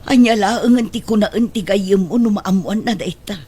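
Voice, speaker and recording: A woman acting a concerned middle-aged mother in a radio drama, husky-voiced and gently advising. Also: medium pitch, normal speed, lightly acted. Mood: cautioning.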